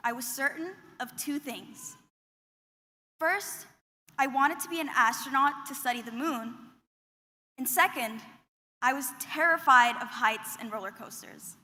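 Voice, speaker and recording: A young woman from Qatar delivers a graduation address at a podium microphone. Her voice sits high and sounds clear and bright, and her delivery is earnest and measured. A long reverb trails each phrase through the big ceremony hall. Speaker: student commencement speaker. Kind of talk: prepared graduation speech. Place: large commencement hall.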